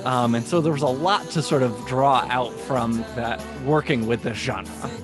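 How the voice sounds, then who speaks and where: A man, a guest composer, recording semi-scripted podcast narration in an explanatory way with halting phrasing. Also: mid-range voice, music in the background.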